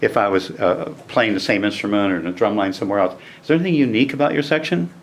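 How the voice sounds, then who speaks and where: A man's voice: lowish voice, fast, a little hiss or hum. A man hosting a casual interview, conversational and prompting each guest by name. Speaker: interviewer, band fan site host.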